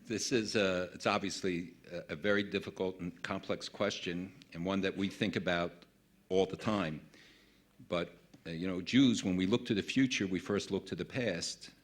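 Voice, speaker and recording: A man on a conference panel, giving measured, thoughtful answers. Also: sits low, steady tone.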